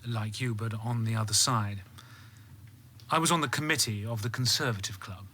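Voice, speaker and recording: A security officer in a radio drama interrogation. The voice has a hard, bright edge. He is formal and scrupulously polite, yet insinuating, and he keeps probing. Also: fairly low pitch, moderate pace, slight background noise. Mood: politely probing. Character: courteous, insinuating male security officer, coolly persistent.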